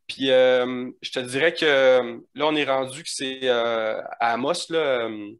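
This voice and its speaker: A man's voice: mid-range voice, fairly even intonation, unhurried, clean tone. A man with a Quebec French accent, a designer guest talking casually on a video call, rambling and searching for words.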